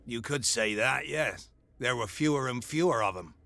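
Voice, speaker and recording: An actor playing a plainspoken, earnest male harbor sailor in a video game, with a creaky, forceful voice. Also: medium pitch, animated, normal speed, dry recording. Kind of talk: video game cutscene dialogue.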